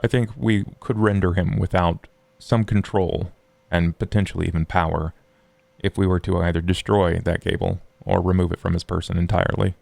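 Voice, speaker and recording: Man playing a creaky, deep-voiced scholarly vampire. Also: fairly even intonation, darkish tone, dry recording. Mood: grave. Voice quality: low, gravelly fry. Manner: measured, formal, wordy. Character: scholarly, formal, morose male vampire occult theorist. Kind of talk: in-character tabletop roleplay.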